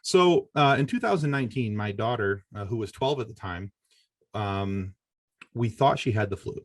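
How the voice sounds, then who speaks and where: A man's voice: fairly low pitch, expressive, moderate pace, no echo. A middle-aged American man from Michigan, a podcaster, giving earnest, rambling conversational answers as an interview guest. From Michigan, United States.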